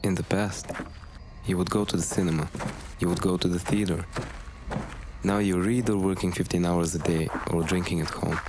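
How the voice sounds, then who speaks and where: Low-voiced, reproachful grown son. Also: male voice, fairly even intonation, moderate pace, background noise. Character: grown son bitterly addressing his father, weary and reproachful. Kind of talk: scripted animated documentary narration.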